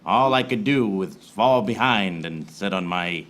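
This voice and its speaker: An actor playing a wry, hard-boiled private eye who narrates a parody noir radio serial in deadpan, recorded live on stage. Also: male voice, fairly low pitch, unhurried. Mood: deadpan world-weary. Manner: deadpan pun-laden monologue. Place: small theater stage before live audience.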